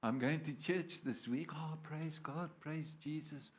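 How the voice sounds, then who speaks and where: A man's voice: on the high side, measured pace, natural voice. A man preaching, voicing a fickle churchgoer who wavers between church and nightlife. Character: fickle churchgoer torn by temptation.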